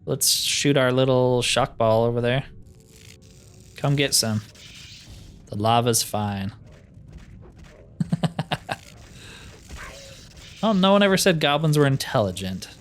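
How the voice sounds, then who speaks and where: A man's voice: mid-range voice, lively intonation, slow pace, pauses often. A man giving casual gameplay commentary, thinking aloud with dry, joking asides. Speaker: gamer narrating a roleplay playthrough. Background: game music.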